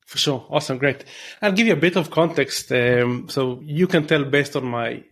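Gravelly Israeli man, chatty guest. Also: medium pitch, normal speed, a bit bright. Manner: loose and chatty, many fillers and restarts. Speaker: guest entrepreneur. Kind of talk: interview answers.

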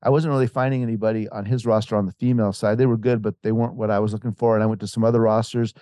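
Man, author guest, casual storytelling, rambling, restarts, mellow tone, dry sound. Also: on the low side, little pitch movement, very fast, dry recording. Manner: rambling, with many restarts and fillers; acts out quoted voices.

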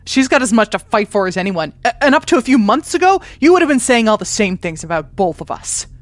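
A woman's voice: on the high side, big pitch swings, fast talker, no room sound. An actress playing a conflicted, guarded woman revolutionary in a naturalistic audio drama. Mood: defensive.

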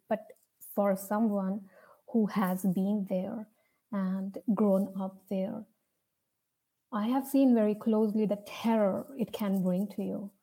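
Young indian accent woman telling her own story, really mellow dark tone, kinda hesitant and earnest. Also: medium pitch, poor audio. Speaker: speaker sharing a personal story. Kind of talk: personal storytelling, unscripted talk.

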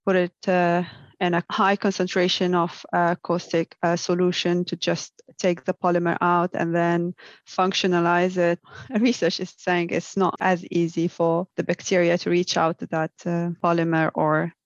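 Woman, flat low voice, hesitant explaining, lo-fi dry sound. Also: moderate pace, dry recording. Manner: explains with many ums and uhs. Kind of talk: casual science chat. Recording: dull lo-fi sound.

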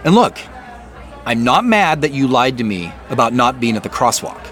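A man's voice: mid-range voice, big pitch swings, moderate pace, fairly bright tone, a faint crowd. An actor playing an earnest, probing male boss in naturalistic audio drama, speaking in an insistent, questioning, conversational way. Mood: accusing, probing.

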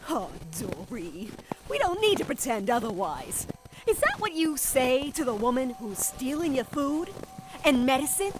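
Menacing female gang leader, slow taunting delivery, swooping pitch, scornful, commanding, audio drama. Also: sing-song, heavy background noise. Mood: mocking.

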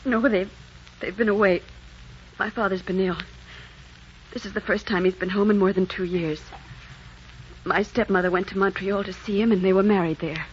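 A woman's voice: medium pitch, fairly even intonation, moderate pace, pauses often, natural voice. An actress playing an earnest, secretive young woman in love in a melodramatic 1940s radio drama. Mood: anguished, confessing. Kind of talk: 1940s romantic radio acting. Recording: muffled old radio recording, noisy.

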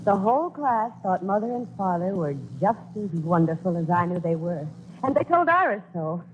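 A woman's voice: mid-range voice, expressive, moderate pace, background noise, lightly acted. An actress narrating as a grown daughter fondly recalling her family in a 1940s radio drama. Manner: fond, storytelling. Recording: dull old radio broadcast recording.

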